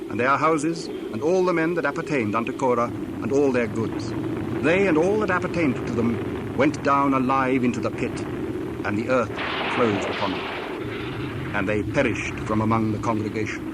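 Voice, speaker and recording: A man narrating scripture from a script in a measured, solemn storytelling manner. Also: mid-range voice, noisy background.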